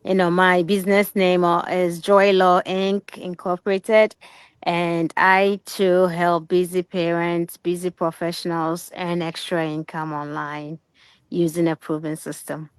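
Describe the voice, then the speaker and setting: Woman from Ghana, low flat voice, slow, smooth and clear, dry sound. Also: dry recording. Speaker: panel guest. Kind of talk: casual panel discussion.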